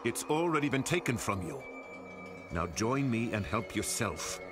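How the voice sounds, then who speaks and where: An actor playing a grave older family friend and mentor in a video game, speaking in a measured, ominous way. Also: male voice, medium pitch, very animated. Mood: urgent. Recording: loud music behind the voice.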